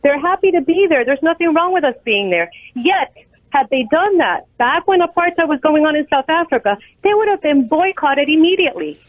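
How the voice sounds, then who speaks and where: A woman's voice: high voice, lively intonation, brisk, no room sound. An American woman calling a radio show over a phone line, talking earnestly and emphatically about politics. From United States. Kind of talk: earnest political call-in talk. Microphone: telephone.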